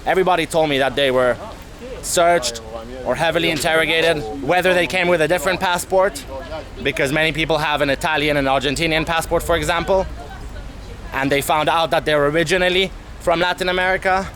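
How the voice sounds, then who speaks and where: A young man telling a travel story to camera as a vlogger, candid and animated, swearing casually, outdoors in a city street. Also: on the high side, a little fast, crowd noise. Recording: music playing under the voice.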